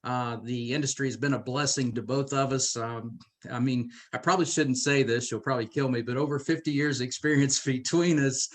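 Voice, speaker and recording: A man's voice: medium pitch, steady tone, a little fast. A middle-aged man hosting a conversational business training interview in an enthusiastic, encouraging way. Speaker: host.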